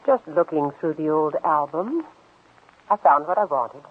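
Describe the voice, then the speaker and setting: A woman playing a cold, scheming, middle-aged older sister in a 1940s radio drama, speaking in a clipped, measured, commanding way. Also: deep voice, expressive, breathy edge, phone-quality sound, exaggerated voice. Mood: brisk, guarded. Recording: band-limited old radio recording.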